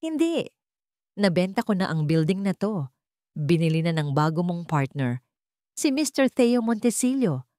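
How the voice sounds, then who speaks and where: A woman's voice: fairly low pitch, very animated, measured pace, pauses often, dark tone, no echo, clean studio sound. A woman voicing a cheerful middle-aged male landlord in a love story, jovial and exclaiming.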